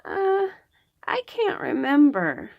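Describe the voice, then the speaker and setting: A woman's voice: high voice, huge pitch swings, slow, vocal fry, lo-fi sound, pitched above the performer's own voice, heavily acted. A woman voicing a small storybook child, timid yet proud of being brave, who gives short, emphatic denials. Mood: unsure.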